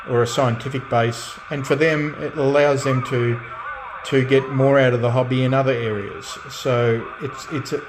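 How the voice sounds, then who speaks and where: Radio static and noise sit clearly behind a middle-aged man with a mid-pitched voice. He chats in a relaxed, unscripted way as a hobbyist, feeling his way through sentences and often starting them again. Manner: halting, reflective, often restarting phrases. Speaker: radio hobbyist and restorer presenting own channel. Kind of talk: relaxed off-the-cuff chat.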